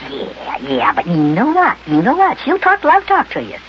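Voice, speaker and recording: A man's voice: high voice, wildly swooping pitch, moderate pace, exaggerated voice. An indignant little elf boy in an old children's radio serial. His voice swings widely as he grumbles and exclaims in folksy style. The recording is dull and noisy.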